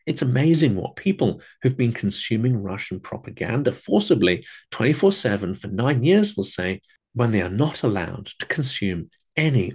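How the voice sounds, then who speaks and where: Male commentator with a mid-low, gravelly voice, reading a critical script with measured, disapproving emphasis. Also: darkish tone. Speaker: host and commentator.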